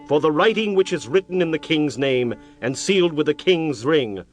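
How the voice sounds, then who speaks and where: Man playing a commanding, higher-voiced ancient king. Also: lively intonation, moderate pace, faint music behind, natural voice. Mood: solemn, commanding. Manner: formal, declaratory royal pronouncements. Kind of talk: dramatized scripture reading.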